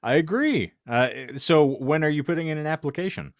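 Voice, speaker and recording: A man's voice: on the high side, lively intonation, brisk, no room sound. A man co-hosting a podcast with dry, teasing banter in a casual style. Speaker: podcast co-host. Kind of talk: casual banter and reading aloud a hypothetical.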